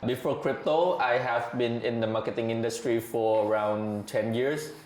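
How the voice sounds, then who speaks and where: A man in his thirties, a guest in a casual podcast chat. He talks in a measured, thoughtful way, often pausing to find his words. His voice sits at an ordinary middle pitch and rings in a roomy, echoing space. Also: a little mellow, slight background noise. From Vietnam. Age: 30s. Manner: measured, thoughtful, with frequent hesitations. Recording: echoey room.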